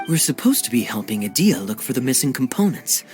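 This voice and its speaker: An actor plays a young, suave master thief from a fantasy game. His voice is medium in pitch and animated, with a teasing, smooth manner and faint music behind. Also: a little fast, close to an everyday voice. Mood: smug. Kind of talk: video game cutscene dialogue.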